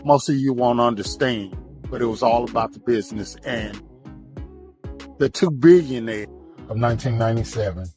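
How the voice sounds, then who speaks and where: Man speaking in halting phrases. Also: mid-range voice, a little mellow, soft background music. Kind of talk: informal monologue to viewers.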